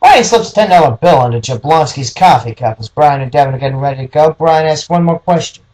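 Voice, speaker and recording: A man's voice: fairly high pitch, quick pace, darkish tone, poor audio. A man reading scripted noir detective story narration as the narrator.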